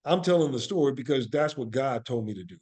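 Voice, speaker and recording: A man's voice: mid-range voice, lively intonation, fast talker, a little mellow. Earnest man speaking reflectively in an interview. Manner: earnest, motivational, emphatic repetition. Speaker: podcast guest, actor and playwright.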